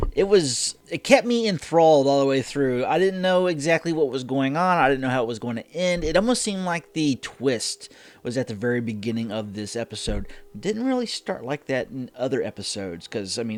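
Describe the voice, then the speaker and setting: A man's voice: mid-range voice, no echo. A man hosting a reaction show, talking casually and thinking aloud as he gives rambling commentary.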